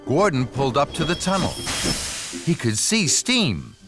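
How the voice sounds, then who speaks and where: A man narrates a children's story in an unhurried, expressive way. His fairly high voice rises and falls a lot, with prominent music playing underneath.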